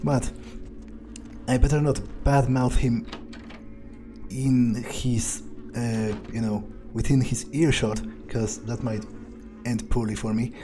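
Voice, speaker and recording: A man voicing a proud, power-hungry vampire in an improvised game playthrough, musing haltingly and swearing as he goes. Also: medium pitch, steady tone, natural voice. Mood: wary, musing. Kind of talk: improvised in-character gameplay monologue.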